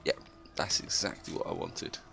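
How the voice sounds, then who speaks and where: A man with a cold and a slightly croaky voice, calmly explaining his moves as he plays a strategy game. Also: moderate pace, heavy background noise. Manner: steady, explanatory, thinks aloud. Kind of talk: gameplay commentary.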